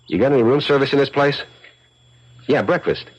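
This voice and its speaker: An actor playing a scheming, fast-talking, hard-boiled crook in a 1970s radio drama, slangy and insistent. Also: male voice, medium pitch, breathy edge, natural voice. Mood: guarded, brisk. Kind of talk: 1970s radio drama acting, with first-person narration. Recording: muffled 1970s radio broadcast, music underneath.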